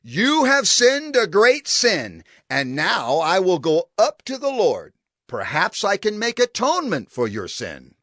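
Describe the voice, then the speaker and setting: Casting: stern, impassioned biblical leader, slow emphatic delivery with huge swings of pitch, over music. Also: male voice, wildly swooping pitch, pushed and bright, dry recording, studio quality, heavily acted. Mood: grave, exhorting. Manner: intense, declamatory, emphatic. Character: Moses, impassioned male Hebrew leader, stern yet pleading. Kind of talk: dramatized scripture audio drama. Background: cinematic music.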